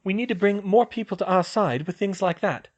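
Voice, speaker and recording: A man voicing a sharp, determined teenage witch who talks briskly and decisively. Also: sits high, muffled recording, voice pushed up, close to an everyday voice. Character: sharp, determined teen witch, organized planner.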